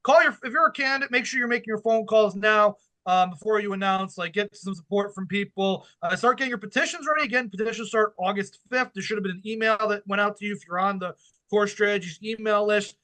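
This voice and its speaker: A man co-hosting a political podcast, brisk and self-deprecating as he gives practical advice. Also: sits high, bright, forceful tone, no echo, rough recording. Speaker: political consultant and co-host. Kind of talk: casual podcast chat.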